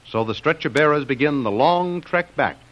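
A man's voice: on the high side, expressive, normal speed. A man announcing and narrating in a formal, declamatory 1930s radio style, reading dramatic scripted narration. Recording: dull old radio recording.

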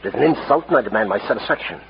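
An actor playing a smug, cold-blooded outlaw who poses as a cultured French gentleman, suave and pompous, in an old radio western. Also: lowish voice, animated, racing, gravelly. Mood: haughty, menacing. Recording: muffled, hissy old radio recording.

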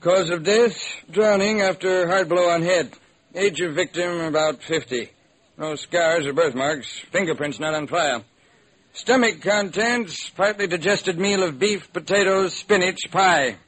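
Clipped, high-voiced morgue examiner. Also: male voice, measured pace, a bit bright, breathy edge, full character voice. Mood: clinical. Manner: telegraphic, clipped report phrasing. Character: male morgue examiner, clipped, clinical and matter-of-fact. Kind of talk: old-time radio drama acting. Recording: noisy old radio recording.

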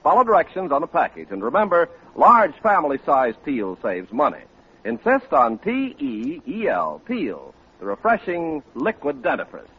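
A man's voice: on the high side, wildly swooping pitch, normal speed, noisy background. An American man announcing and reading ads in a polished, salesmanlike 1940s radio style. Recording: muffled old radio recording.

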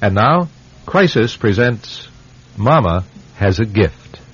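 A man hosting a radio drama series, narrating in a measured, dramatic and portentous way. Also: on the low side, sing-song, heavy background noise. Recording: muffled, dull old radio show sound. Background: music.

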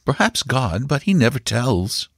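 A man's voice: medium pitch, sing-song, measured pace, vocal fry, slightly rough, no room sound, studio quality, voice pushed up, full character voice. A man voicing a bitter, weary mother explaining things to her child in a story reading. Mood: bitter, resigned.

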